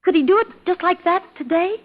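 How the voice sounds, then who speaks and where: An American actress playing an anxious, pleading wife in an old radio suspense play, fretful and emotional with a husky voice. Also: female voice, very high voice, lively intonation, brisk. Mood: distraught, pleading. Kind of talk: 1940s-50s American radio suspense acting. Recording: tinny, band-limited old radio recording.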